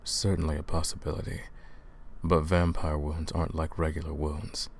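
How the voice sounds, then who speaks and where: A man playing a centuries-old vampire hunter in a roleplay audio drama, stern and commanding, speaking deliberately and questioning the listener. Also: rumbling low, flat delivery, creaky, full character voice. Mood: probing, dry.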